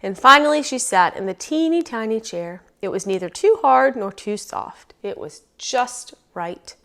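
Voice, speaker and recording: A woman reading a children's storybook aloud as storyteller, expressive and emphatic, lingering on phrases. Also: fairly high pitch, measured pace.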